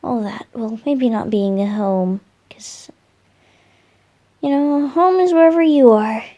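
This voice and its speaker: A woman voicing a gentle, lovestruck boyish male wind spirit in a romantic roleplay ASMR, speaking tenderly with soft murmurs and hesitant pauses. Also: a little high, highs cut off. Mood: warm, romantic.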